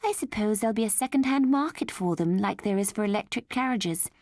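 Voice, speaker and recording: A woman's voice: mid-range voice, expressive, fairly quick, a little mellow, dry recording, studio quality, voice pushed up, close to an everyday voice. Woman reading as a prim, emphatic wife. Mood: pleased. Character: prim, conformist futuristic wife and anxious mother.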